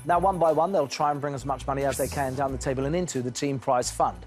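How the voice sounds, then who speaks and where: A man hosting a television quiz show, brisk and teasing with jokes between questions. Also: medium pitch, faint music behind.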